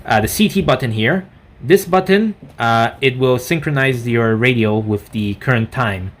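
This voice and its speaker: A man giving an unscripted product review in a halting, rambling way, often correcting himself mid-sentence. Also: mid-range voice. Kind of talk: unscripted gadget demonstration.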